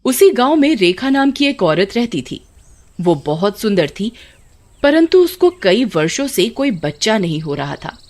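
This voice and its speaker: A woman reads out a scripted tale as narrator. Her mid-pitched voice moves up and down in lively fashion, over faint music. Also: measured pace. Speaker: storyteller. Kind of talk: scripted Hindi story narration.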